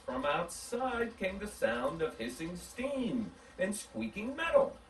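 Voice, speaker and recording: A man's voice: high-pitched, sing-song, slow pace, fairly bright tone. A man in his forties speaking as a host, giving a holiday message and reading a storybook aloud. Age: 40s. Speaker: host of a model railroad channel. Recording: roomy, distant mic, faint background noise.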